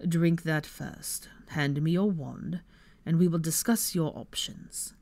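A woman's voice: deep voice, takes it slowly, creaky, a little mellow, no room sound, exaggerated voice. A woman voicing a haughty middle-aged male wizard in an audiobook story, with a controlled, sarcastic drawl. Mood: stern, condescending.

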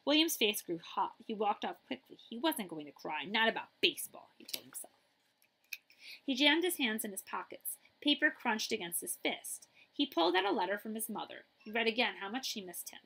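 A middle-aged woman reading a children's picture book aloud, with dramatic emphasis and pauses. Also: fairly high pitch, very animated, gravelly, bright, forceful tone, breathy edge, low-quality recording.

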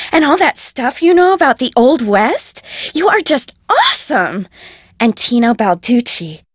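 High-pitched gushing rich girl. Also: no room sound, clean studio sound. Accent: American. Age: young adult. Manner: gushing, breezy, self-important. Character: gushing, spoiled rich blonde socialite. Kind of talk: video game dialogue.

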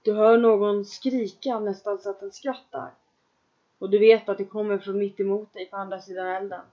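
Calm woman guiding a trance meditation. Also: medium pitch, lo-fi sound. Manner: calm, slow second-person instructions with long pauses. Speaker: meditation guide.

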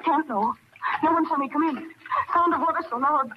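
A high-pitched, panicked woman fugitive played by an actress mutters in breathless, broken phrases. It is old radio horror drama, muffled under heavy noise and hiss. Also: moderate pace, natural voice. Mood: panicked, dazed. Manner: breathless fragmented muttering, rising to cries. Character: panicked, confused woman murderer fleeing in darkness. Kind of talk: 1940s radio horror acting.

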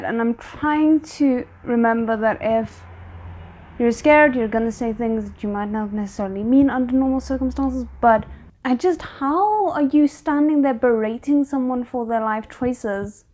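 A woman in her twenties giving casual, candid and emphatic reaction commentary in a bedroom. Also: fairly high pitch. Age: 20s. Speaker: reaction video host. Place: small bedroom indoors.

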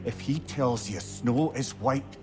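A man's voice: medium pitch, takes it slowly, music in the background, lightly acted. A man playing a wise, witty talking head in a video game cutscene, speaking with sardonic, accusing distrust. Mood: scornful distrust.

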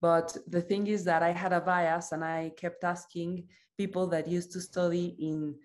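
A woman's voice: fairly low pitch, flat delivery, moderate pace. A woman in her thirties from Mexico with a Mexican accent and Spanish as her native language, speaking reflectively on a video call and often tagging statements with a questioning "no?". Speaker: psychoanalyst and author in conversation. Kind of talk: reflective conversation. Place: indoor room at home, video call. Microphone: video call microphone.

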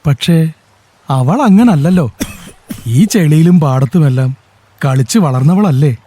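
A man as a talkative village farmer, Kerala accent, high lively voice, radio play. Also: unhurried. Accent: Kerala Malayalam. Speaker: fellow farmer and friend. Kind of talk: radio drama acting.

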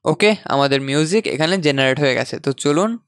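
A man's voice: a little high, lively intonation, brisk, no room sound. A man, presenting a scripted tech tutorial to viewers, close on a studio microphone. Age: 20s. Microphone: close studio boom microphone. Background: faint background music.

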